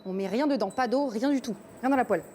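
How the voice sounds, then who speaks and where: Quick, mellow-voiced woman, curious presenter. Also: fairly high pitch, a little hiss or hum. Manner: informal, curious questioning. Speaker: show presenter. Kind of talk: casual chat while cooking. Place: kitchen.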